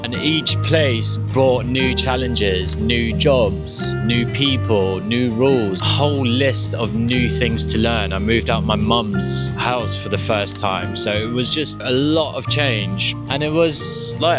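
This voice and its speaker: A young man from South Africa with a South African accent, reflecting candidly on his life in a casual personal vlog, with a slight stutter and the odd swear word. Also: medium pitch. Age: young adult. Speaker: vlogger.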